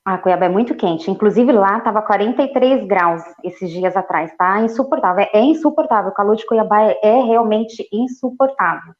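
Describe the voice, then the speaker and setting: A woman in her forties from Ceará, raised in São Paulo, a nutritionist talking as a guest in a lively, easygoing way. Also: mid-range voice, normal speed, hardly any pauses, lo-fi sound. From Ceará, Brazil, raised in São Paulo. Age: 40s. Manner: lively, laughs easily, repeats phrases. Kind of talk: casual interview.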